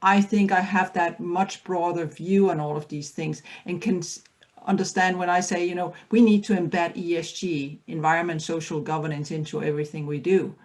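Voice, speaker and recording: A woman's voice: lowish voice, steady tone, normal speed, a little mellow. A middle-aged woman from Denmark with a Danish accent, speaking earnestly as a panelist with frequent restarts. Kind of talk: panel discussion.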